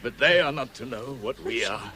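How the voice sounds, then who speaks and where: A man's voice: mid-range voice, normal speed, a crowd in the background, lightly acted. An actor playing an exasperated, self-important dwarf king in a radio fantasy drama, declaiming and fussing. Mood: weary, grave. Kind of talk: full-cast radio fantasy acting.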